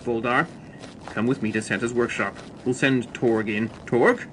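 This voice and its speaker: An actor playing a stern, principled Martian commander in an audio drama, grave, measured and authoritative. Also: male voice, mid-range voice, a bit bright, slight background noise, lightly acted. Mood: commanding.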